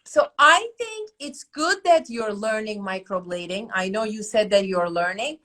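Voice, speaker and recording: A middle-aged woman from Turkey with a Turkish accent, hosting a casual podcast chat in an animated, emphatic way. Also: medium pitch, measured pace. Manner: animated, emphatic, asks rhetorical questions. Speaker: academy host.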